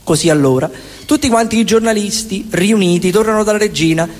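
Roman man, high voice, theatrical comic storyteller, colloquial, reverberant live stage, guitar music. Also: brisk. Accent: Roman Italian. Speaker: stage performer and singer-songwriter.

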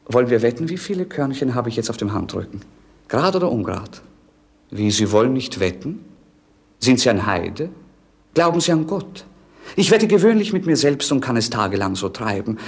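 An actor playing a melancholy, bored young prince with witty irony, in a theatrical 1950s German radio drama style. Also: male voice, on the low side, creaky, husky, heavily acted. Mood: playfully bored. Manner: theatrical, ironic, declamatory. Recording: 1950s radio play recording.

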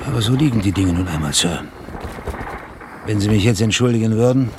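A male naval lieutenant, played by an actor in a radio drama, with a deep voice. He is respectful, frank and uneasy, set against clear noise of a ship. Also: lively intonation, brisk, lightly acted. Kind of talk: German radio drama acting.

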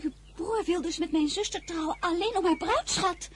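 A woman's voice: very high-pitched, normal speed, slight background noise. A woman playing a naive, shy young girl of good family in a radio play, hesitant and girlish. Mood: flustered, indignant. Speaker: actress in a radio drama.

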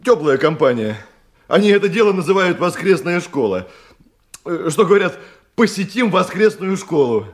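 A man's voice: sits high, big pitch swings, normal speed. A man in a Russian radio drama playing an evasive, servile criminal underling who hesitates, stammers and stalls. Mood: hesitant, ingratiating. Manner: hesitant, stammering, repeats questions back.

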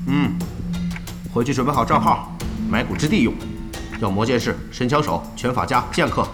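Arrogant male team captain, acted by a man, audio drama, commanding and contemptuous, very animated intonation. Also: medium pitch, normal speed, natural voice. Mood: cold command. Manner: sneering, commanding. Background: music.

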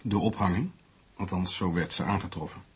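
Low-voiced skeptical journalist, husky. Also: male voice, fairly quick. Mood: sober. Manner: sardonic, reasoning aloud. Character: skeptical, teasing male newspaper journalist, analytical amateur sleuth. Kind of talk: Dutch radio play acting.

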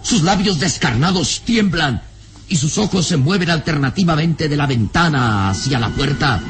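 An actor as the storyteller of an adventure radio serial, narrating in a theatrical, suspenseful, carefully enunciated way. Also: male voice, a little high, animated, normal speed, faint music behind. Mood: tense.

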